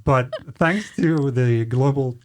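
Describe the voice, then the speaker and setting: A man's voice: medium pitch, takes it slowly, slight background noise. A man in his thirties casually interviewing as a podcast host, close to a studio microphone. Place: small curtained podcast studio. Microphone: studio microphone on boom arm, close.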